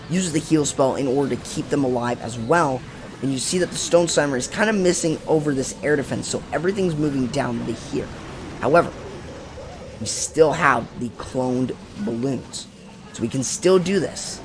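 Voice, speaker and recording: A man with a raspy voice hosting a casual game strategy guide, explaining attacks step by step and correcting himself as he goes. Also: on the high side, a little hiss or hum. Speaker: gaming channel host.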